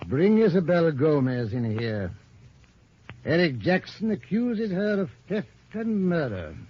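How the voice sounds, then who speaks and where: A man's voice: fairly high pitch, very animated, unhurried, a little hiss or hum. A man playing a formal, probing, authoritative police inspector in an old-time radio detective drama. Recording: dull, muffled old radio recording.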